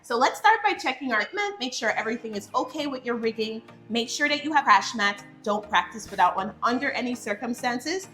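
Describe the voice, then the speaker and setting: A woman's voice: on the high side, animated, brisk, hardly any pauses, sharp tone, roomy sound, soft background music. A young woman co-hosting a pole dance lesson, giving safety tips in an upbeat, playful way. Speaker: pole dance instructor, co-host. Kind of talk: casual tutorial instruction.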